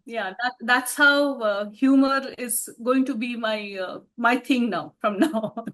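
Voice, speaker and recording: Woman in her fifties, high voice. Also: measured pace, a bit bright. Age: 50s. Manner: reflective, laughs often, restarts phrases. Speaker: author being interviewed. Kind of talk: conversational interview answers.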